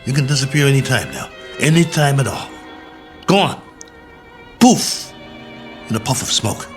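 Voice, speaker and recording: An actor playing a worn-out, injured and lonely crash-landed space colonel in a radio drama, talking in halting, emphatic bursts. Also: male voice, mid-range voice, lively intonation, faint music behind, lightly acted. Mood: bitter, resigned. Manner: halting, repetitive, emphatic pleading and musing.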